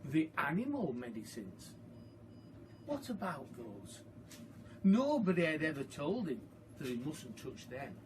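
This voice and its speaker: Unhurried man reading a children's story. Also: on the high side, noisy background. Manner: expressive, deliberate, emphatic. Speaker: primary school teacher reading to pupils. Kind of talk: storytime read-aloud narration.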